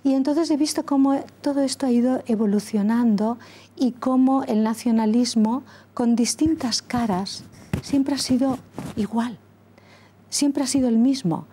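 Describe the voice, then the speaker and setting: A middle-aged woman from Catalonia, a former school principal, answering interview questions earnestly and deliberately, pausing and correcting herself often. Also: fairly high pitch, warm tone, a little hiss or hum. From Catalonia, Spain. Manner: deliberate, with frequent pauses and self-corrections.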